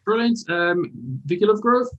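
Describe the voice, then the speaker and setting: A man's voice: high voice, dull audio. Man hosting an online meeting, roomy sound. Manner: brisk, informal, repeats "brilliant" between people. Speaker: host. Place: video call. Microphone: video call.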